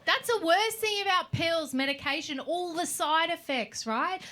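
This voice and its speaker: Woman, 40s, Australian accent, high voice, brash and self-mocking, stand-up comic, live club recording, audience noise. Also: expressive, measured pace. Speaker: stand-up comedian. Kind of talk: stand-up comedy set. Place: comedy club stage. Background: audience laughter.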